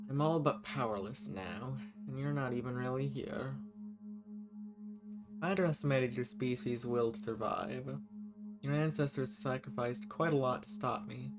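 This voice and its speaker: Over clear music, a male actor voices an ancient magical villain, a banished man speaking at a middling pitch. He is world-weary and dangerous, yet teasing and flirty, musing formally as if to one listener in an intimate audio roleplay. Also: natural voice. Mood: teasing, grave.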